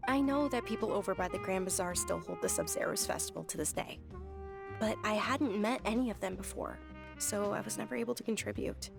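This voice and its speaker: An actress voicing an earnest, gentle, generous young noblewoman in a video game. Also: mid-range voice, a little fast, creaky, music in the background, natural voice. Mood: earnest, troubled. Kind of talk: video game character dialogue.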